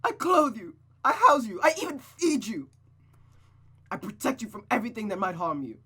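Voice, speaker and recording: A reader voicing a cruel, abusive mother who speaks with cold menace and rages in a shout. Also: mid-range voice, sing-song, normal speed, slightly rough, pitched above the performer's own voice, exaggerated voice. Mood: raging. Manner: menacing, then shouting in fury. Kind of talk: dramatic reading of a visual novel.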